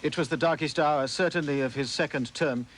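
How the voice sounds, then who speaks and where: A man with a slightly high voice narrates a television news report. His reading is measured with a wry touch, over a faint hiss of old broadcast tape. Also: fairly bright tone. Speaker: foreign correspondent.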